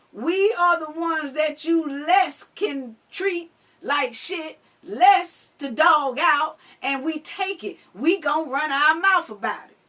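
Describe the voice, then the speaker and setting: A woman with a high voice talks slowly and deliberately through a commentary monologue. She is blunt and profane, hammers the same phrases again and again, and sometimes raises her voice to drive a point home. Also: bright, forceful tone. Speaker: video commentator replying to another video.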